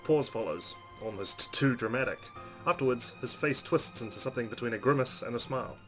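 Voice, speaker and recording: A man's voice: medium pitch, brisk. A man hosting a game playthrough, reading the story narration aloud expressively. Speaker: let's play host reading game narration. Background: game music.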